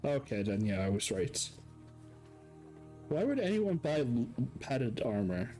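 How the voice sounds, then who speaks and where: A man narrating and running a tabletop game in a relaxed, descriptive way. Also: mid-range voice, measured pace, soft background music. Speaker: game master.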